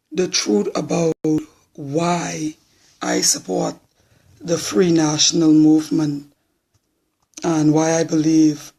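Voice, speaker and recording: A hoarse man in his forties with a Bahamian accent, talking bluntly and plainly to viewers on a live social media stream. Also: on the high side, darkish tone. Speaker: political supporter.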